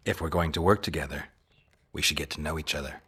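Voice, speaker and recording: A man playing a stoic mutant monster hunter in a video game, terse and deadpan with a dry wit. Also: quick pace, full character voice. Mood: deadpan, probing. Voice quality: husky, gravelly low voice.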